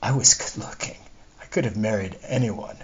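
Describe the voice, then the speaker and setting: A man's voice: fairly low pitch, moderate pace, slight background noise, close to an everyday voice. A man reading a literary monologue as a wistful, discontented middle-aged wife, confiding and opinionated, in his own everyday voice. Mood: proud, restless. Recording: dull lo-fi recording.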